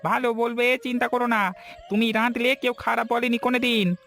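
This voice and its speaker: A man's voice: extremely high pitch, level tone, fairly quick, a bit bright, soft background music. A man voicing a plain-spoken, loyal and stubborn village husband in a cartoon story, direct and insistent. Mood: eager, encouraging. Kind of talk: Bengali cartoon story voice acting.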